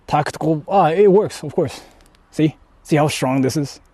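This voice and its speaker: A man's voice: fairly high pitch, moderate pace, dark tone, dry recording. A young man streaming live while exploring outdoors, talking casually and rambling in asides to his viewers. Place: outdoors exploring a rural area.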